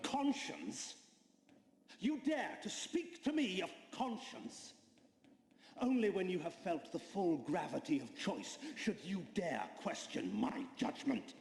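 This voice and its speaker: A man's voice: high voice, sing-song, slow pace, darkish tone, slight background noise, heavily acted. A man voicing an ancient vampire emperor in a video game, haughty, menacing and world-weary, with a theatrical, imperious delivery. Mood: indignant, burdened. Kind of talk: video game cutscene drama. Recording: echoing hall with faint background ambience.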